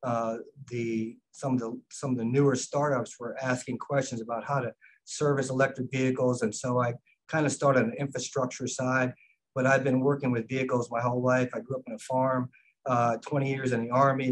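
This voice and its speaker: Older guy on a video call, flat monotone, lots of uhs and restarts. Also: mid-range voice, poor audio. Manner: halting, many fillers. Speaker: fleet management consultant.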